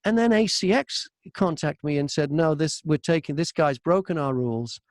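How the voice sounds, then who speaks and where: Male interview guest, high-pitched, chatty storyteller, very dry close sound. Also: lively intonation, moderate pace, a little mellow, dry recording. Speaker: audiobook narrator and voice-over artist, interview guest. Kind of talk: casual interview anecdotes. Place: inside a wardrobe used as a home booth.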